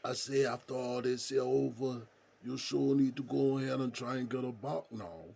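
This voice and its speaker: Guy doing gravelly folksy inmate buddy, raspy, mellow, drawls, audio drama. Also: mid-range voice, steady tone, moderate pace. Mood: encouraging. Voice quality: gravelly, husky. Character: folksy, good-humored male jailhouse buddy named Smokey.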